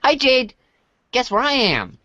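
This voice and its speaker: An amateur reader playing an earnest, friendly, excitable young boy in a chatty, eager reader's theater style. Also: sits high, a little slow, dry recording, lo-fi sound, full character voice. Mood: excited, curious. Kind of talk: amateur reader's theater, reading chat messages.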